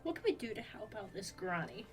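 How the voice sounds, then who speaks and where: A woman's voice: medium pitch, big pitch swings, fairly quick. Woman gamer commenting, slightly distant mic. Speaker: gaming streamer. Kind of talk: casual game playthrough commentary, reading along. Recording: distant mic, game music behind. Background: game music.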